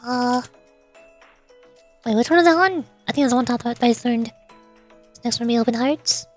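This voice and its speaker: High voiced girl streamer, chatting casually about a game, bit of music. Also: normal speed. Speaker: streamer and VTuber voicing game dialogue. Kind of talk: casual livestream commentary.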